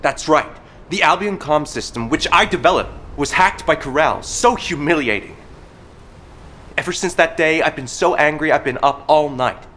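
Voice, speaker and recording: Man voicing a higher-pitched, earnest tech expert. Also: normal speed, a bit bright, a little hiss or hum, close to an everyday voice. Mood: indignant. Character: earnest, proud male tech expert, stung and obsessive. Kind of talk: video game voice acting.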